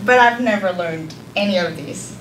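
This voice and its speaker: A young Cantonese speaker from leads a beginner class in English. She talks at a mid pitch, hesitating often with ums and soft laughs. The classroom is echoey and noisy, and she sounds well away from the mic. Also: normal speed. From Hong Kong. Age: young adult. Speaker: volunteer language teacher. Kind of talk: live classroom lesson. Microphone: far camera. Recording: echoey room from far off, noisy.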